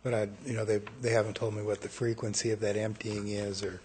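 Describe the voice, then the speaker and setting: A man's voice: on the low side, level tone, fast, darkish tone, a little hiss or hum. A man answering council questions in a council chamber, speaking plainly and admitting what he doesn't know.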